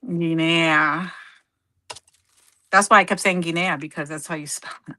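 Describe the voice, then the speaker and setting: A chatty woman with a bright, forward voice, co-hosting a crafting livestream and talking freely while she works. Her first language is Spanish, and she often pauses to hunt for a word and correct herself. The sound is close and dry, with little room echo. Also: low voice, fairly even intonation. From United States. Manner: chatty, searching for words, self-correcting. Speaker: co-host of a scrapbooking livestream. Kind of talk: casual chat while crafting.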